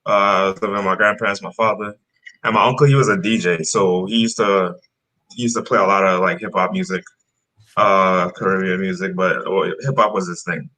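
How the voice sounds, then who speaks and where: A man from Trinidad in his thirties, relaxed and rambling as he tells his story with lots of fillers. His voice sits low but has a bright, forward edge. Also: fairly quick. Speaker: guest rapper. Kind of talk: casual interview chat.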